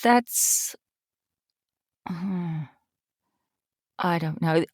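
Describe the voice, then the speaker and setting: A middle-aged English woman speaks in a fairly low voice, recorded close and dry. She talks casually and conversationally, answering as an interview guest. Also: extremely slow, dry recording. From East Anglia, England. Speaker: podcast guest, voice actor.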